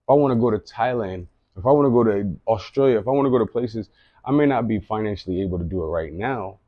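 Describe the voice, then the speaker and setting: Man in his late 30s, low voice, earnest and rambling, dry muffled sound. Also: quick pace, no echo. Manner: earnest, rambling, repeats himself. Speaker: vlogger. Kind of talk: reflective personal monologue.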